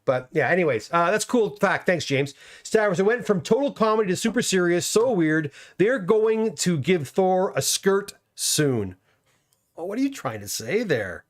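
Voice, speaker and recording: A man's voice: sits high, big pitch swings, moderate pace. A middle-aged man hosting a casual livestream chat, reading viewer comments aloud and reacting to them. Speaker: host.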